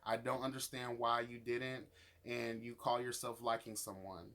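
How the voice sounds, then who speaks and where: A man's voice: mid-range voice, level tone, moderate pace, fairly bright tone. Sassy, emphatic man giving a profane rant. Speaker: YouTube reviewer of a reality show. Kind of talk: opinionated review monologue, casual and profane.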